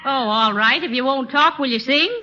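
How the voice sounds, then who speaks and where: An actress playing a wry, sharp-tongued but good-natured wife, folksy and teasing, in 1930s radio comedy style. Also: female voice, sits high, normal speed, slight background noise. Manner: dry teasing, folksy exclamations. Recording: muffled 1930s radio, loud studio audience.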